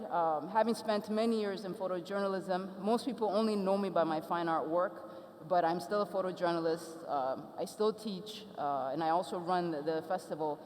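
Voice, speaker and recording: A woman's voice: fairly low pitch, darkish tone. Earnest Ethiopian-accented woman in a hall. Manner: earnest, reflective, with frequent fillers. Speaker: festival speaker. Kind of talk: conference talk. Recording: reverberant hall.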